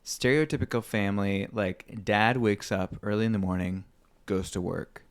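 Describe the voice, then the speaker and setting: Low croaky guy in his twenties, earnest and kinda halting, podcast guest. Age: 20s. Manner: earnest, self-deprecating, halting with fillers. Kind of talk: casual chat.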